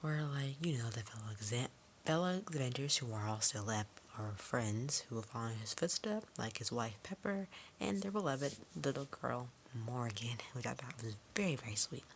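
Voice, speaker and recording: Very low-voiced woman, noisy home recording. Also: expressive, normal speed. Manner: informal and chatty, trailing into tangents and self-interruptions. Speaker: film reviewer. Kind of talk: casual rambling spoiler review. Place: her room at home.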